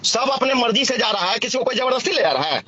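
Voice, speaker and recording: A man's voice: very high-pitched, lively intonation, fast, bright, forceful tone, a little hiss or hum. An angry man with a Bihari accent argues heatedly as a domineering boss, confrontational and repeating himself. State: angry, agitated. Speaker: medical officer in charge, speaking as a boss. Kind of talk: heated phone argument. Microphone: phone call. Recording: muffled phone call recording with background chatter.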